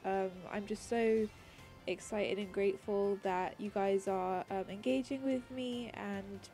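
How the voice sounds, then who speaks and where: A woman's voice: medium pitch, steady tone, unhurried, vocal fry, mellow, faint music behind. Congested woman, rambling game chat. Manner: rambling, many ums and restarts. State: congested, slightly raspy with a cold. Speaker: gaming channel host. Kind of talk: casual gameplay commentary.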